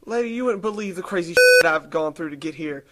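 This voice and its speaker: A woman's voice: lowish voice, huge pitch swings, brisk. A woman playing a brash, sarcastic, foul-mouthed young hero in a video game cutscene. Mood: incredulous. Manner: sarcastic, irreverent.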